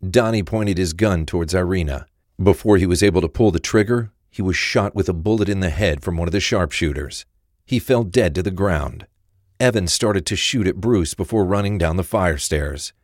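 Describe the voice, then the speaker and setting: A man's voice: low-pitched, moderate pace, a little mellow, no echo, clean studio sound. A man reading scripted narration for a crime thriller story.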